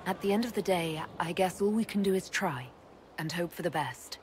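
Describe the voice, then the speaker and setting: A woman's voice: lowish voice, moderate pace, a little hiss or hum, natural voice. A woman playing a level-headed, plain-spoken leader and confidante in a video game. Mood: gentle, encouraging.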